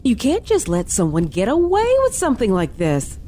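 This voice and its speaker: An actress playing a righteous, stubborn young starship commander in a science fiction audio drama, emphatic and rigid in manner. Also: female voice, a little high, wildly swooping pitch, normal speed, mellow, a little hiss or hum. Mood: indignant, self-righteous.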